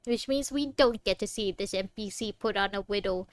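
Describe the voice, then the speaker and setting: A woman's voice: mid-range voice, moderate pace, dry recording. A young adult woman streaming a game, chatting casually and reacting in a playful, teasing way. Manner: playful, teasing, mild swearing. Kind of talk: casual let's play commentary, reading along and reacting.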